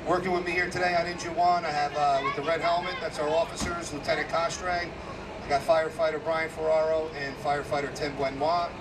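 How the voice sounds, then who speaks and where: A man, a fire captain giving a live demonstration talk with a strong, loud voice and many fillers. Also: fairly high pitch, flat delivery, a little fast. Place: outdoor parking lot. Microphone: handheld microphone to a PA. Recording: distant PA voice, loud outdoor noise.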